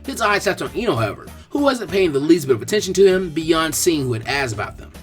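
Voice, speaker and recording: A man reading fan fiction aloud in expressive scripted narration. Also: a little high, fast talker, faint music behind.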